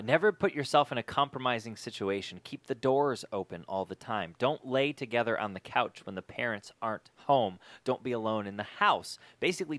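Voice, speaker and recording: A man's voice: fairly low pitch, a bit bright, no room sound. A man hosting a podcast, chatting casually in a wry, joking way. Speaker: podcast host. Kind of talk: casual chat and interview.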